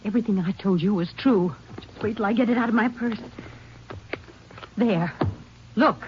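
An actress playing a strained, stubborn American wife who feels hunted, in 1950s radio drama style. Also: female voice, medium pitch, normal speed, lightly acted. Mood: urgent, vindicated. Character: distraught, insistent wife convinced someone wants her dead. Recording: muffled old radio recording, faint noise.

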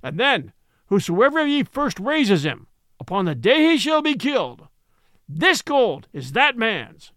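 A man voicing a grim, obsessed old sea captain who booms his orders in an old-fashioned, declamatory way. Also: very animated, a little slow, a bit bright, no echo, studio quality, heavily acted. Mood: rousing. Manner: booming, declamatory.

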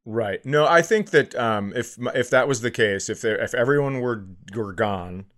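A man's voice: medium pitch, normal speed, fairly bright tone. A man co-hosting a comedy podcast, musing through hypotheticals in casual, self-deprecating chat with some easy swearing.

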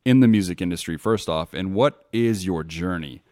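A man's voice: deep voice, moderate pace, darkish tone, no room sound, clean studio sound. A man hosting a podcast interview, asking his guest conversational questions. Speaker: podcast host.

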